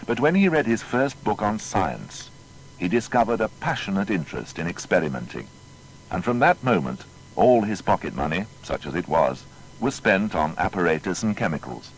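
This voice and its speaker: A man reading a scripted biography as narrator, in a measured and formal storytelling manner. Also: low voice, sing-song, a little hiss or hum, low-quality recording.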